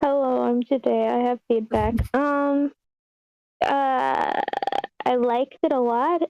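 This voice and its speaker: Woman, fairly high voice, close and dry sound, informal and animated feedback-giver in an online meeting. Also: slow pace, vocal fry, no echo, muffled recording. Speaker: script feedback participant at an online table read. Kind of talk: casual feedback chat.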